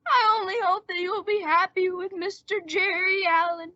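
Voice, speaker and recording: A woman playing a melodramatic, lovesick, boastful teenage boy in an old-time radio comedy, with drawn-out theatrical delivery. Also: very high voice, steady tone, sharp tone, no room sound. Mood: mock-dying, self-pitying. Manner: drawn-out mock-dying groans and boyish bluster. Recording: dull, band-limited dry audio.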